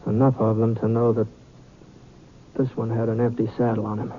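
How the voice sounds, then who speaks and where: A man's voice: lowish voice, little pitch movement, close to an everyday voice. Man acting a folksy gunman on hissy old radio. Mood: tense narration. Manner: folksy, halting in dialogue. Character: seasoned male western gunman, weary and guarded, recounting his tale. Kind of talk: old-time radio western narration and acting. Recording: muffled, hissy vintage radio recording.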